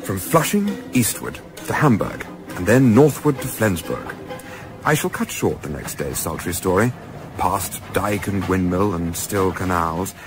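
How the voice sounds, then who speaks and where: Man playing fastidious gentleman, expressive and formal. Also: on the low side. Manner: literary, measured, formal. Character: fastidious, weary English gentleman narrator, reluctant holidaymaker. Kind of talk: radio play narration and dialogue. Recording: clearly noisy, ambient sound behind.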